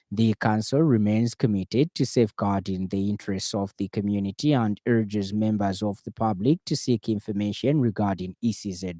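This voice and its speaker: A man with a Zambian accent reading a scripted radio news bulletin in a formal, measured manner. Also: fairly low pitch, dark tone, no room sound. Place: radio studio.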